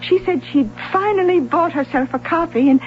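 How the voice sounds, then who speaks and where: A polite, guarded American librarian answers carefully and hesitantly in a high-pitched voice. The radio drama sounds old and slightly muffled, with faint hum. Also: female voice, normal speed. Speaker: actor. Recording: dull, noisy old radio recording with hum.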